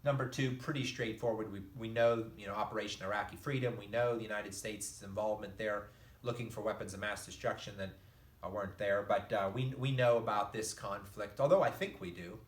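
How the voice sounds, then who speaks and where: A man's voice: lowish voice, steady tone, a little fast. Rambling male teacher, echoey room. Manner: rambling, conversational, many fillers and restarts. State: losing his voice. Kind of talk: recorded geography lecture.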